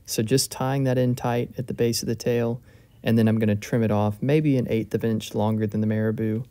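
A man calmly narrating a step-by-step fly-tying tutorial at his bench. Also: fairly low pitch. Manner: calm, steady, explanatory.

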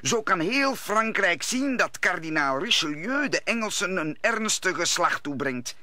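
Anxious husband, radio drama, halting stammer, very sharp bright tone, dry sound. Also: male voice, high voice, expressive, no echo, exaggerated voice. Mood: conspiratorial. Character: nervous, stammering landlord, a fretful husband. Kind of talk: Dutch radio play acting.

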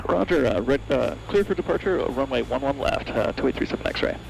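A man's voice: mid-range voice, animated, brisk, creaky, background noise. An American man, a pilot, making hesitant, clipped radio calls into a headset in a small plane cockpit. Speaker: private pilot. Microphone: aviation headset boom mic. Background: music, aircraft.

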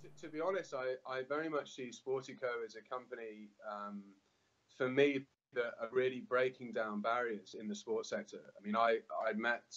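A man's voice: mid-range voice, moderate pace, a bit bright. A man in his thirties giving earnest answers in a remote interview from a room indoors, often repeating words as he thinks. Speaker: interview guest. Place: indoor room with glass doors. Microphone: laptop webcam on video call. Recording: dull lo-fi video call audio.

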